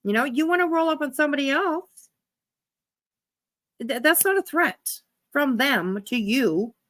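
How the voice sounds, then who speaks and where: Woman w slightly high voice doing casual livestream reaction talk, blunt, curses a lot. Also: lively intonation, unhurried, a bit bright. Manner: blunt, sweary.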